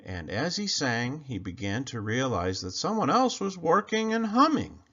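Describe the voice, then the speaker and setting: A man reading a children's storybook aloud in an expressive, emphatic storytelling manner. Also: medium pitch, normal speed, breathy edge, muffled recording. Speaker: storybook reader.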